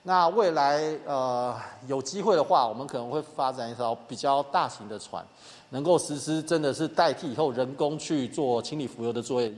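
Taiwanese guy manager being interviewed, mid pitch, big echoey reverb. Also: normal speed. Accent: Taiwanese Mandarin. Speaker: port company manager. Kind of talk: spontaneous interview answer. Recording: reverberant hall.

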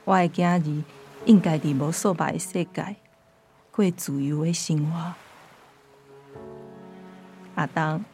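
Tender, low-voiced ghostly mother. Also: fairly even intonation, slow, long pauses, a little mellow, faint music behind. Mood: tender, sorrowful. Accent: Taiwanese. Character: young granddaughter channelling a tender, self-sacrificing ghost mother. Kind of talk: radio drama acting.

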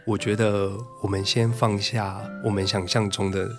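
Lower-pitched Taiwanese man, candid interview guest. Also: flat delivery, normal speed, over music. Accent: Taiwanese Mandarin. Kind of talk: casual reflective interview talk.

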